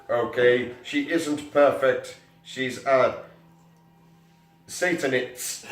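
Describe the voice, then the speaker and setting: A man voicing a defensive, petulant teenage girl author with whiny, exasperated, drawn-out emphasis. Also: medium pitch, voice pushed up. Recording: echoey room, mic at a distance.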